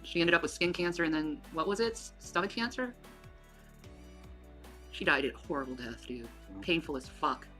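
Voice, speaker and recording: A woman in her thirties talking casually on a livestream, rambling and swearing, often trailing off into quiet mumbling. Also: deep voice, animated, moderate pace, long pauses, faint music behind. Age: 30s. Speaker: livestream subject.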